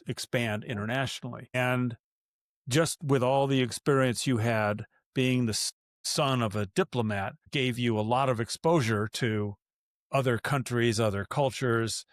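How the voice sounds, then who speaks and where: A man's voice: medium pitch, dry recording, studio quality. A man hosting a podcast interview, talking in a loose, rambling way with frequent restarts. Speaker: podcast host.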